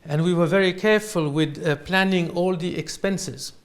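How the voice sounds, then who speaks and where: A man with a French accent answering interview questions in halting, unscripted non-native English. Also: high voice, fairly even intonation. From France. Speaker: interview guest, real estate company owner. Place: in his apartment.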